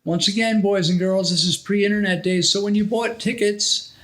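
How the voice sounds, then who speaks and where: A middle-aged man hosting a podcast, chatting and reminiscing in a halting, rambling way. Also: high voice, fairly even intonation, a bit bright. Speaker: podcast host and wrestling veteran. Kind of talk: casual conversational reminiscing.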